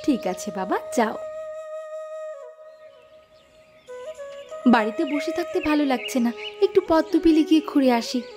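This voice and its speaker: Woman playing a high-voiced kind village girl. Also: animated, brisk, music in the background. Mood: easygoing. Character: kind, dutiful, caring young village girl. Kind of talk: cartoon story voice acting.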